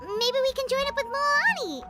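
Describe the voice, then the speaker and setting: A woman voicing a tiny, excitable floating fairy companion with a squeaky, childlike manner in an anime-style game. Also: moderate pace. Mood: worried, chirpy. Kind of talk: scripted anime-style video game acting. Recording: loud music under the voice.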